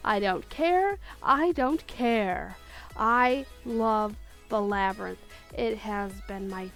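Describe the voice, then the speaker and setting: A woman casually chatting through her favorite picks, gushing and giggly, often restarting her sentences. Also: a little high. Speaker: video creator. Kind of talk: casual countdown chat.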